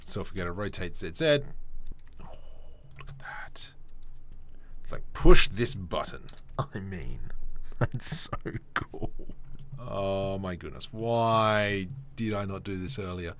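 A young man hosting a casual software tutorial, chatty and self-mocking as he thinks aloud while he works at a desk mic. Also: sits low, animated, slow, pauses often, slight background noise. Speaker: 3D modeling tutorial host.

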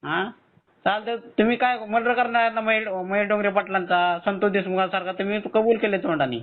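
A man's voice: high-pitched, fairly even intonation, brisk, dry recording. A man with a Maharashtrian Marathi accent on a phone call, speaking in an insistent, questioning way. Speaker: call recipient. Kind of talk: tense phone conversation. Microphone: telephone. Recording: narrowband phone call recording.

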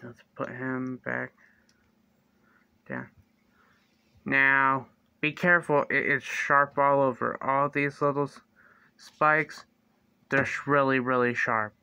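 A young man casually reviewing a toy figure in his bedroom, talking slowly and haltingly with fillers and restarts, close to a phone or webcam. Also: mid-range voice, steady tone, gravelly, pushed and bright, clean tone, no room sound. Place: small bedroom indoors. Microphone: phone or webcam close to the face.

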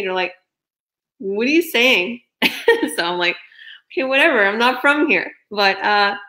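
A woman's voice: on the high side, bright, forceful tone. A young woman with a New Jersey and Toronto accent, hosting a video call interview with enthusiastic, emphatic talk and frequent laughs. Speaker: host and business coach. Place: small room indoors.

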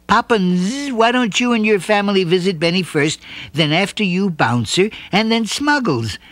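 A man doing a lively, bossy young boy. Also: sits high, dry recording, pitched above the performer's own voice. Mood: eager. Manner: quick, animated planning.

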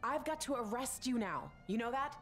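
An actress playing a brisk, dutiful police officer in an animated game, urgent and authoritative. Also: female voice, fairly high pitch, background noise. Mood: stern. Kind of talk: animated game cutscene acting. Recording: loud music behind the voice.

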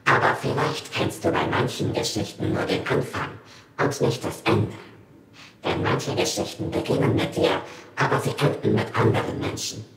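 A man's voice: lowish voice, normal speed, fairly bright tone, lightly acted. A man playing an anonymous captor in a horror audio drama, taunting with sinister politeness in a whispery, toneless voice. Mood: taunting menace. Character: menacing anonymous captor, slow, taunting tormentor. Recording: echoey room, faint background noise.

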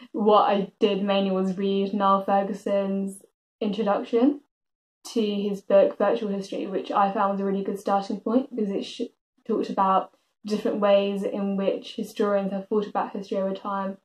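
A woman's voice: mid-range voice, poor audio. A young woman, a student giving advice to camera in a bedroom. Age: young adult. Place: bedroom, indoors.

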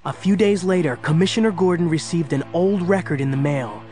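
An actor performing as the narrator of a superhero audio drama, telling it in a polished, suspenseful scripted style. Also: male voice, fairly high pitch, fairly quick, natural voice. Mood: dramatic. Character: dramatic male storyteller of a superhero adventure. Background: dramatic music.

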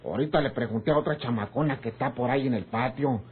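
Casting: man playing rural Mexican foreman, folksy rogue, mid-pitched, in a tinny radio serial. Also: quick pace, lightly acted. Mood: cheeky, flirtatious. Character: cheeky, flirtatious Mexican ranch foreman, rough around edges. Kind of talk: old radionovela acting. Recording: tinny, noisy old radio drama recording.

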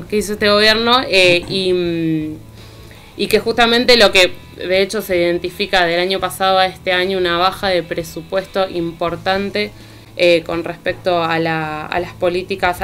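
A woman's voice: mid-range voice, a bit bright. An Argentine woman giving informal political commentary as a columnist on a radio show. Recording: music bed under the voice. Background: music.

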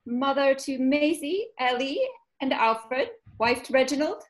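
A cold, accusing official , acted by a woman in a script read. She speaks in a fairly high voice, measured and formal. Her tone talks down to whoever she questions. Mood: formal, officious. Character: cold, accusing female government official running an interrogation. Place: home, video call.

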